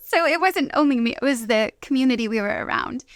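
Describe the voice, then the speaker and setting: Woman, fairly high pitch, casual personal storytelling, interview guest, dry recording. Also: lively intonation, quick pace, no room sound. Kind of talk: casual conversational testimony.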